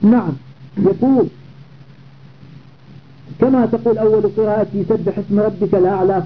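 A man teaches grammar step by step. He repeats phrases and often asks whether his students follow. The sound is thin and phone-like, with a faint hiss behind it. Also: high voice. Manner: methodical, repeats phrases and checks understanding. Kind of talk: classical grammar lesson. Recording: tinny phone-like audio with faint noise.

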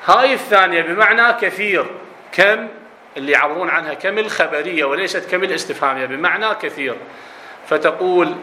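Iraqi male teacher, bright, raised voice, quizzes his class, echoey room. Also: high voice, fast, a little hiss or hum. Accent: Iraqi Arabic. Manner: explanatory, asks students questions, slips into dialect. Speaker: grammar teacher. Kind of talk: classroom lesson on Arabic grammar.

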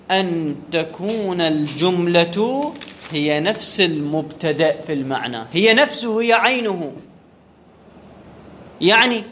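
A man's voice: high voice, fairly bright tone, slight background noise. A man teaching a grammar lesson. He puts questions to the class, answers them himself and repeats the key terms. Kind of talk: classroom lecture on Arabic grammar.

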